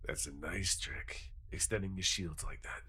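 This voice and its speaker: Man playing a low-voiced weary superhero mentor. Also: normal speed, pushed and bright, husky, full character voice. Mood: weary, resigned. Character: weary male superhero wizard, older mentor, stoically resigned. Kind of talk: superhero audio roleplay acting.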